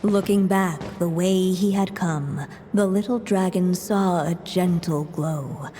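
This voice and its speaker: A woman narrating a fairy tale in a video game, as a solemn, gentle storyteller. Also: fairly low pitch, takes it slowly, a little hiss or hum. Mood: measured, wistful. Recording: long hall reverb, music underneath.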